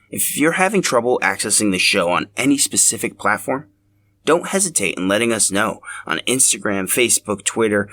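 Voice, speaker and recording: Low-voiced man hosting a podcast. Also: pushed and bright. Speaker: podcast host. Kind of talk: scripted podcast narration.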